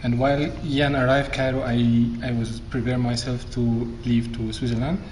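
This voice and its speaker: Flat-toned man, English. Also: medium pitch. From Egypt. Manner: non-native English, halting grammar. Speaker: theatre performer. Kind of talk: festival interview. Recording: echoey room with faint noise.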